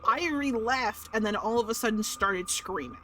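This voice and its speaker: A woman's voice: a little high, normal speed, a crowd in the background. A woman chatting casually as the game master of a streamed tabletop campaign.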